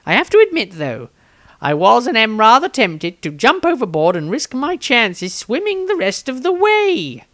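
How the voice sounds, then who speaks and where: A man's voice: very high voice, wildly swooping pitch, moderate pace, fairly bright tone, no echo, studio quality, voice pushed up, full character voice. A man voicing a nervous, flustered wizard with a melodramatic, fretful manner in a fantasy story. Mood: exasperated. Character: flustered, nervous, theatrical male wizard diarist.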